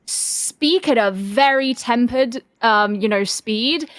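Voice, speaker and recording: A woman's voice: on the high side, slow, no echo. A woman co-hosting a casual podcast chat, explaining her experience in a reflective way with fillers. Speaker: podcast co-host and esports commentator.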